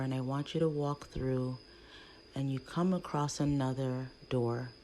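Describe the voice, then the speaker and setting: A woman leading a guided meditation in a hushed, soothing, breathy voice with long pauses between phrases. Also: a bass voice, low-quality recording. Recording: faint background noise.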